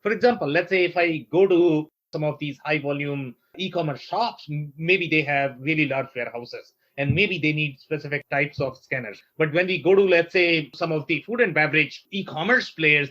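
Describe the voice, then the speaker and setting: A man's voice: on the high side, a bit bright, highs cut off. A man hosting a podcast, asking long, winding questions with frequent "let's say". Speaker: podcast host. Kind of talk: interview questions.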